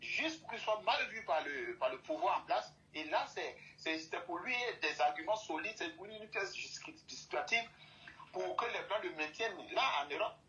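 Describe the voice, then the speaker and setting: A man speaks in a forceful, bright voice, pressing his points by circling back over the same phrases. The sound is dull and lo-fi, like a broadcast recording. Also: sits high, expressive, moderate pace, slight background noise. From Côte d'Ivoire. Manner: emphatic, repeats and restates phrases. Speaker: guest speaker. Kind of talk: impassioned opinion talk.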